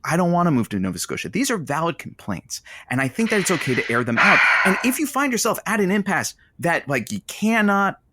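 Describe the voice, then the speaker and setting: A man's voice: high-pitched, very animated, a little fast, few pauses, noisy background. A man playing an earnest, supportive radio producer who fills in as host of a comedy call-in show, talking hesitantly and conversationally. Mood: tactful, reasoning.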